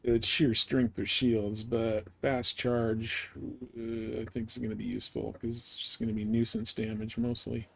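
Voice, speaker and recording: A man's voice: medium pitch, little pitch movement, a little slow, a bit bright. A man livestreaming a video game, thinking aloud in casual commentary and chatting with viewers. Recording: voice over clear background noise.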